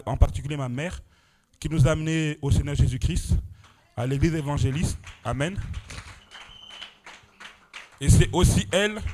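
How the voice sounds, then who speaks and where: A man's voice: fairly high pitch, a little mellow. Fervent French preacher man, amen-punctuated. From France. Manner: exclamatory, punctuates phrases with "amen" and "alléluia", rising and falling intensity. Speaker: preacher giving testimony. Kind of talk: sermon testimony. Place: church prayer vigil.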